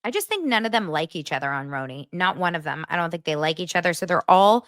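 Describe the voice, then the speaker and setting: Brief: a gossiping woman, a little low, animated storyteller, dry close sound. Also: fast talker, no echo. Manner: animated storyteller who acts out quotes. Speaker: podcast guest and YouTube show host. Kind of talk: casual gossip chat.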